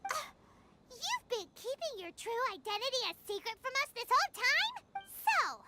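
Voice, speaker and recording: Squeaky, extremely high-pitched tiny fairy. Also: female voice, big pitch swings, normal speed, bright, forceful tone, heavily acted. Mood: curious, surprised. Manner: inquisitive, exclamatory. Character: tiny childlike fairy companion, perky and inquisitive. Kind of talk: video game voice acting. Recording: game dialogue over music.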